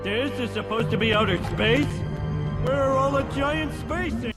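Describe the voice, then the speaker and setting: A man's voice: very high-pitched, a little slow, over loud music. A man playing a dim, good-natured, blustering middle-aged dad in a cartoon game. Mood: impressed, earnest. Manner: dopey, blustering.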